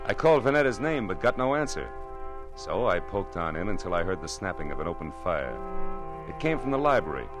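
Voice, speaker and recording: A hardboiled private eye with a very low voice. He is dry, terse and wry, talking and narrating in vintage radio detective style, with music in the recording. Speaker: lead actor and narrator.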